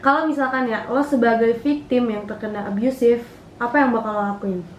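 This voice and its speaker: A woman's voice: a little high, fairly even intonation, a little fast, dull audio. A young woman, moderating a casual student discussion. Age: young adult. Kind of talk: casual interviewing. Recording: very roomy, faint noise.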